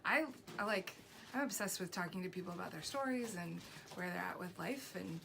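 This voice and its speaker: A young woman from Washington State answering interview questions with enthusiasm, often trailing off softly. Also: lowish voice, lively intonation, a little fast, vocal fry. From Washington State, USA. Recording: noisy background.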